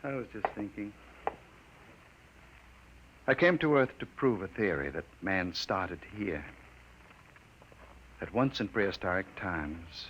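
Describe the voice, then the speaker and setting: A man's voice: deep voice, fairly bright tone. An actor playing a weary, despairing man resigned to doom, brooding and solemn, in a 1950s radio drama. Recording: muffled, hissy 1950s radio recording.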